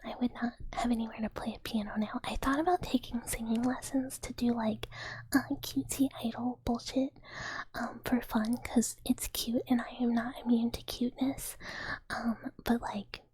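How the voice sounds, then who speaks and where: A woman's voice: on the high side, level tone, normal speed, poor audio. A young woman streamer whispering a giggly, rambling casual chat with her viewers. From United States. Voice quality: soft breathy whisper. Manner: giggly, rambling, frequent "like" fillers.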